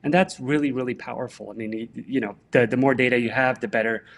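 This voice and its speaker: A man from Bosnia, a guest on an interview show, explaining technical ideas in a steady, conversational way. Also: medium pitch, fast talker, vocal fry. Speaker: guest, tech director.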